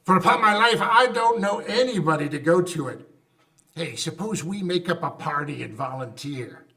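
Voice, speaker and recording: A man's voice: a little high, normal speed, recorded from a distance. An actor playing a flippant, callous gossiping businessman in an old-time radio drama. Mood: callous amusement. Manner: flippant, animated.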